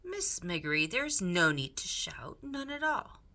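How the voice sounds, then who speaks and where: A woman's voice: lowish voice, sing-song, a little slow, pushed and bright, heavily acted. A woman reading a storybook aloud, voicing a suave, scheming male rat with theatrical, formal, ingratiating manners. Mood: persuasive, scheming. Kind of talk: storybook character read aloud.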